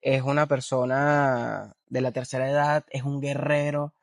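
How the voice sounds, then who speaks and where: A man's voice: mid-range voice, flat delivery, a little slow, creaky, no echo, studio quality. A man hosting an interview, enthusiastic and full of restarts. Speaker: interviewer, host. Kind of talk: interview conversation.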